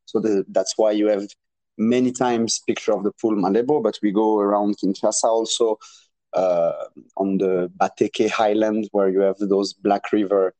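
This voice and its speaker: A man's voice: lowish voice, steady tone, normal speed, dark tone, no room sound, rough recording. A man in his twenties from Congo with a French accent, speaking as a guest on a remote call, hesitant and searching for words with many restarts. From Democratic Republic of Congo. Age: 20s. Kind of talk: casual interview.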